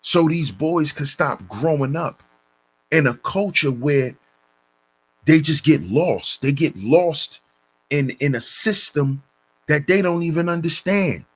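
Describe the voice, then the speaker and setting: A man hosting a commentary channel, reading a news story and lecturing on it in a deliberate, preachy way, calling his listeners brothers. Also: fairly high pitch, lively intonation.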